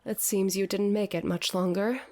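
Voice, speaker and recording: Actress as dazed woman, grandiose declaiming, mock-Shakespearean, middle pitch, audio drama. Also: fairly even intonation, normal speed, a little mellow, lightly acted. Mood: mock-tragic. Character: dazed theatrical woman raving as Hamlet to a fish head. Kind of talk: scripted audio drama acting.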